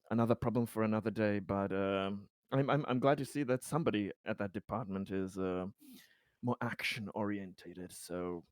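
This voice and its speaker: Halting, diplomatic male corporate executive, acted by a man. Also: on the low side, no room sound, studio quality, lightly acted. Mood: measured, diplomatic. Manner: halting, wordy, formally polite.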